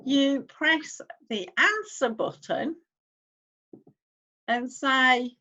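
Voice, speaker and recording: A woman's voice: sits high, lively intonation, drawn-out words, pushed and bright. A woman, hosting a casual screen-share tutorial, chatting as she clicks through steps and reads on-screen text aloud. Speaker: online-income YouTuber host.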